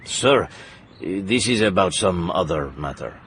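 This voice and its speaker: An actor playing a dutiful, formal aide reporting to a South American dictator in an audiobook drama. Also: male voice, deep voice, expressive, unhurried, fairly bright tone, a little hiss or hum. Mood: deferential. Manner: deferential, formal.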